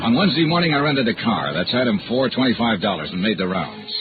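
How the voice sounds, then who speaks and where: A brisk, hard-boiled insurance investigator in a vintage radio drama. He talks fast and terse, with lively swings in pitch. The recording is tinny, with music behind. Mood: dry, matter-of-fact. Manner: terse, dry, pressing. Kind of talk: 1950s radio detective narration and acting.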